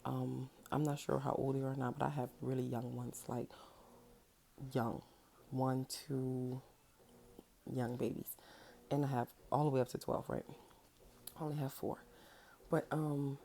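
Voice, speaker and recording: A woman in her thirties with a deep, gravelly voice, roughened by a cold. She talks in a warm, mellow tone and rambles as she goes, dropping in ums and checking in with "right?" A washing machine hums faintly behind her at home. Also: little pitch movement, measured pace, pauses often, slight background noise. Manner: loose, rambling, with many ums and rhetorical "right?". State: under the weather. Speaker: spiritual vlogger. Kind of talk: casual direct-to-camera message. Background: washer running, children fussing at times.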